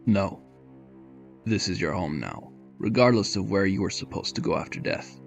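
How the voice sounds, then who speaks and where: A man voicing a large, cruel python who rules the dead in a fantasy audio drama, speaking in a cold, measured, condescending way. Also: low-pitched, expressive, vocal fry, faint music behind. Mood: cold, stern. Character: large muscular male python ruler of the dead, imperious and cruel.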